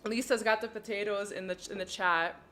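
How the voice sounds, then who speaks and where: Bright-voiced chatty French Canadian woman. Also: medium pitch, fairly even intonation, a little fast. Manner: chatty, giggly, explains her cockpit steps aloud. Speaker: flight sim livestream host. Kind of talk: casual livestream chat while flying.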